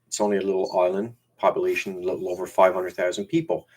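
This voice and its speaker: Man with a Newfoundland accent, somewhat low voice, hosting a casual live stream with stop-start delivery. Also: little pitch movement, a little mellow. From Newfoundland, Canada. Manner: halting, repeats words and restarts. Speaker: YouTube channel host. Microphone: camera used as webcam.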